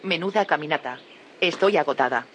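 A woman's voice: low-pitched, lively intonation, fairly quick, a little hiss or hum. An actress playing an incredulous, questioning female scientist in an audio drama. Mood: weary.

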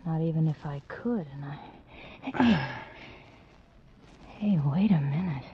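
An actress playing a sultry, teasing femme fatale, coy and languid in 1940s radio noir style. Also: female voice, deep voice, animated, slow. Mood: coy, seductive. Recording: muffled, noisy 1940s radio recording.

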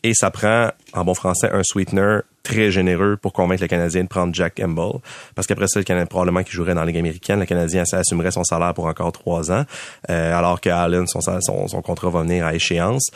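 A man, a sports journalist who lays out hockey trade ideas in a measured way, thinking aloud with fillers. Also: low voice, level tone, no echo, clean studio sound. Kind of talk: casual hockey analysis.

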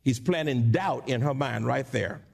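A male pastor preaching a sermon in church, with call-and-response and sudden high-pitched asides. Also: a little slow, vocal fry, a little mellow. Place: church sanctuary.

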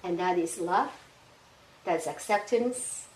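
A woman's voice: lowish voice, darkish tone, far from the mic. A woman with a Somali accent speaks earnestly, stopping and restarting often. She sits some way back from the mic in a roomy space, so her voice echoes a little. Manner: earnest, halting, many restarts and "you know". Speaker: guest. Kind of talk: casual testimony interview.

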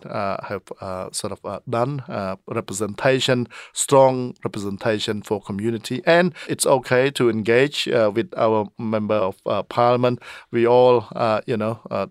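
Halting man. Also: mid-range voice, clear, resonant tone, dry recording, studio quality. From Cambodia. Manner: earnest, halting, with frequent "uh" pauses. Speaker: state member of parliament. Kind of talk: interview answers.

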